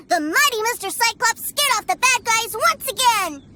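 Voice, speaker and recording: A woman's voice: extremely high pitch, lively intonation, measured pace, bright, forceful tone, slight background noise, exaggerated voice. A woman voicing an innocent, cheerful, trusting little boy in a video game, earnest and wide-eyed. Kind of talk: animated video game character acting. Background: background game music.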